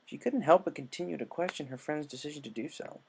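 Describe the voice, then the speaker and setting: A man's voice: fairly high pitch, fast, vocal fry, slight background noise. A man reading scripted fan fiction aloud as the narrator. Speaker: story narrator.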